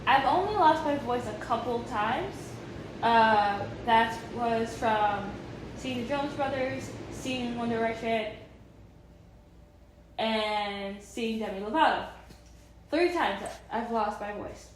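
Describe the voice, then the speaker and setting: A woman's voice: a little high, slow, echoey room, slight background noise, poor audio. A woman in her thirties chatting casually and enthusiastically in a vlog, rambling with many fillers and restarts. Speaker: vlogger.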